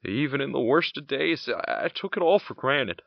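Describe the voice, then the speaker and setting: An actor playing a gentle, tired soldier father in an audio drama, speaking wistfully and haltingly as he longs for home. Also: mid-range voice, lively intonation, creaky, dry recording, highs cut off. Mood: wistful, longing. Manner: wistful, halting, stammering delivery.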